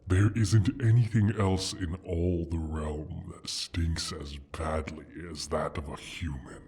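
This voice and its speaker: A man voicing a proud, menacing dragon guarding his hoard in a slow, theatrical fantasy roleplay, with a breathy rumble and a deliberate, condescending manner. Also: mellow, heavily acted. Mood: suspicious. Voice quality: husky, breathy rumble with whispered edges. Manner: deliberate, brooding, condescending, sighing.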